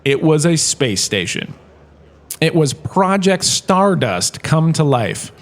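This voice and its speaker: A jaunty male history professor, played by a man in a comedic audio drama, delivers his lecture in a fairly high voice. He is chatty and opinionated, with the theatrical flair of a washed-up actor. His wry delivery leans on emphatic pauses. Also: sing-song, heavily acted. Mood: grand, dramatic.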